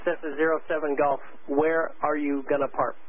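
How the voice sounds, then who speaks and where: A man working as a tower air traffic controller, giving brisk, clipped, directive instructions over aviation radio. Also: on the high side, little pitch movement. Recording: tinny, narrowband aviation radio with static.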